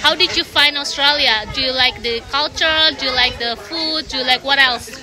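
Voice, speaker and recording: A young woman with an Indonesian accent speaks in a high-pitched voice as a casual vlog interviewer. Her manner is animated and exclamatory, and there is clear chatter around her outdoors. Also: moderate pace, rough recording. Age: young adult. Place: outdoors at a park gazebo gathering. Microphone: phone at arm's length. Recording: busy outdoor chatter behind.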